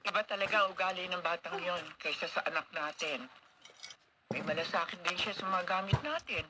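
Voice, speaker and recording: A woman's voice: lowish voice, a little fast, natural voice. An actress playing a caring, fair-minded middle-aged mother in a radio drama, earnest and sighing, on a noisy recording. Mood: exasperated. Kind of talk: Philippine radio drama acting.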